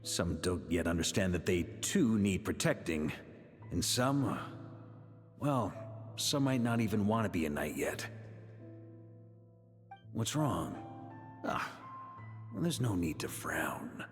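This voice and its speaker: Fatherly old-guard knight commander, lowish voice, calm n sure, big reverb, game dialogue. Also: full character voice. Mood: reassuring. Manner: measured, reassuring. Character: seasoned grandmaster knight, fatherly, calm and assured. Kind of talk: video game voice acting, scripted dialogue. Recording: long cavernous reverb over faint music.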